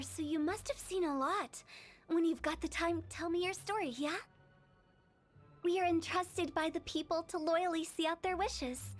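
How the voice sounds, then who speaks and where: A woman voicing a mischievous young female funeral director in a video game, teasing and fond of sing-song rhymes. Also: very high voice. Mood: solemn. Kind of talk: game character voice lines.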